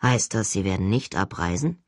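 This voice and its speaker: A reader doing a low, cold, arrogant older director. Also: expressive, dry recording, studio quality. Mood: cold, threatening. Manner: expressionless, measured, formal, condescending. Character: stern arrogant older male agency director, coldly threatening. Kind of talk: audiobook character acting.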